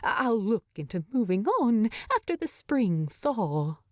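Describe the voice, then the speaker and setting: A woman's voice: mid-range voice, sing-song, slow, no echo, clean studio sound. A woman voicing an anxious, lovelorn little male hobbit who speaks hesitantly, with small stammers, in a fantasy audiobook. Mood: subdued.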